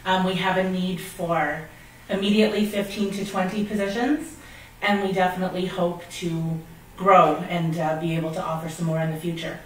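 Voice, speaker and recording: A young woman with a slightly low voice gives an informal company presentation. The sound is very reverberant and a bit distant, with faint noise. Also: fairly even intonation, normal speed. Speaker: call center operations manager. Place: meeting room indoors, at a lectern. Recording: very echoey room, distant mic, faint noise.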